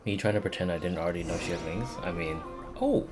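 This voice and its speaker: A man giving casual livestream commentary on a game, reacting in an animated, informal way. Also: low-pitched, fast talker, music in the background. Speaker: game streamer.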